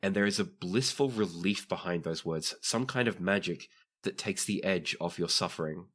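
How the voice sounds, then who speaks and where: A man's voice: low-pitched, normal speed, a bit bright. A man narrating a tabletop roleplaying game as the game master.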